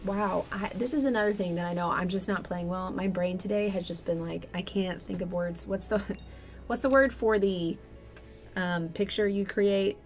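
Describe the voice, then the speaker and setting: A woman's voice: mid-range voice, moderate pace, faint music behind. A woman in her thirties co-hosting a gaming video, chatting in a bubbly, talkative way. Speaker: co-host, host's wife. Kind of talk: casual chat. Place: home recording room.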